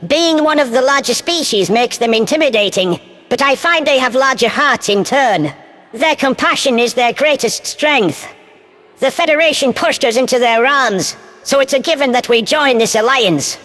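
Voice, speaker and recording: A man's voice: very high voice, lively intonation, moderate pace, bright, forceful tone, pitched above the performer's own voice, heavily acted. A man voicing a tiny, grateful alien ambassador who makes sincere, formal speeches, heard in long reverb. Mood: earnest, grateful. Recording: long hall reverb, faint music.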